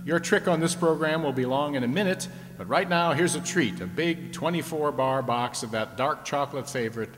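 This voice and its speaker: A man's voice: on the high side, moderate pace, few pauses, a bit bright, close to an everyday voice. In a reverberant, noisy hall, a man acts out a genial old-time radio game show host. He keeps up playful, teasing patter with a folksy touch, like a 1950s audience show. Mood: upbeat, welcoming. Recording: echoey hall, clear background noise.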